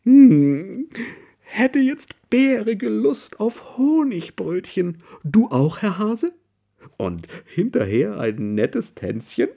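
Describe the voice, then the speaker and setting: A storyteller voicing a big, easygoing, cheerful female bear in a children's story, drawn-out and high when she exclaims. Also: very animated, vocal fry, warm tone, breathy edge, exaggerated voice. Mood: cozy.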